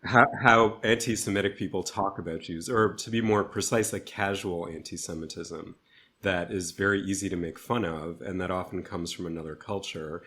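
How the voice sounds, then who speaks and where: A man speaking reflectively as an interview guest, with thoughtful, deliberate phrasing. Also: deep voice, fairly even intonation, bright, forceful tone, a little hiss or hum. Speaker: interview guest, theatre artist.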